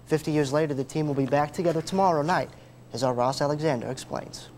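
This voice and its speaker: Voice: medium pitch, fast talker, a little mellow, slight background noise. A news anchor reading a scripted story in a broadcast delivery.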